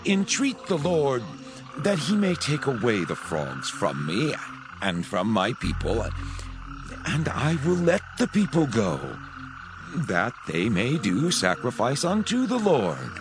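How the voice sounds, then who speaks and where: Pharaoh, slow and wildly swooping. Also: male voice, medium pitch, wildly swooping pitch, music in the background. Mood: pleading. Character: Pharaoh, proud Egyptian king, pleading grudgingly. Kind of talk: dramatized scripture acting.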